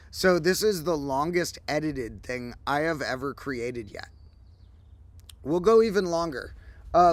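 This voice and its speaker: A man hosting a casual livestream, his voice slightly hoarse, telling a rambling story with animated asides. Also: medium pitch. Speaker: host. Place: outdoors, windy.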